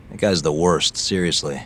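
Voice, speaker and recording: A man's voice: a bass voice, unhurried, fairly bright tone, slight background noise. A man playing a laid-back, wry detective hero in a video game cutscene, talking casually and tersely. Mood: matter-of-fact.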